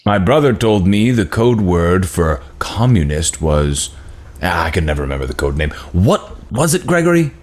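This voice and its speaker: A man's voice: sits low, big pitch swings, moderate pace. An actor reading a cheery, pious father at a family dinner, with a hearty, folksy delivery. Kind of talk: table read of a screenplay.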